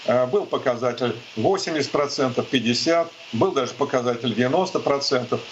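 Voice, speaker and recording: A man's voice: medium pitch, brisk, dull audio, slight background noise. A man, an economist guest, speaking skeptically over a live remote link with frequent hesitations. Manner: skeptical, hesitant with many fillers. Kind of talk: remote interview commentary.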